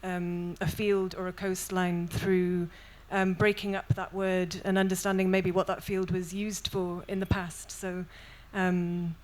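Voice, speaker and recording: A young Welsh woman poet speaking thoughtfully on a festival panel, with many pauses and restarts. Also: on the low side, flat delivery, a little mellow. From Wales. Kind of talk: panel discussion and poetry reading.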